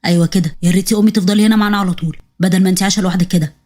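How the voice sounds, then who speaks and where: A gruff, bullying young husband with an Egyptian accent, his voice pushed down well below the speaker's own and rushed along at a racing clip. He barks orders and threats, as a woman storyteller puts him on. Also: fairly low pitch, steady tone, a little mellow, voice pushed down, close to an everyday voice. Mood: warm. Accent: Egyptian Arabic.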